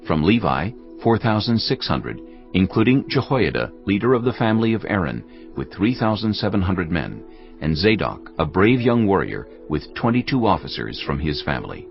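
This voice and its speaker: A man narrating scripture from a script in a measured, solemn manner. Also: sits low, very animated, vocal fry, dull audio. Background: background music.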